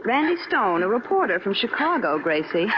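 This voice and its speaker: A woman's voice: mid-range voice, big pitch swings, brisk, lightly acted. An actress playing a talkative, gossiping woman in a vintage radio drama. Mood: insistent. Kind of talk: 1950s radio drama acting. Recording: muffled noisy old radio recording.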